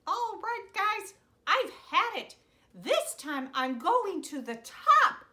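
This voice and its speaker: A woman voicing an anxious little male rooster in a children's story, talking in a high, excitable, hurried way. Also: exaggerated voice. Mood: determined.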